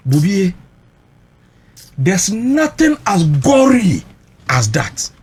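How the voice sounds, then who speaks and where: A man's voice: high voice, wildly swooping pitch, very slow, background noise. A Ghanaian man recounting personal experience emotionally, mixing Twi and English, emphatic and dramatic, repeating phrases for weight.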